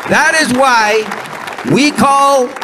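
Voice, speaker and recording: A man's voice: very high-pitched, drawn-out words, long reverb tail, crowd noise, background noise. A man narrating a documentary in an emphatic way.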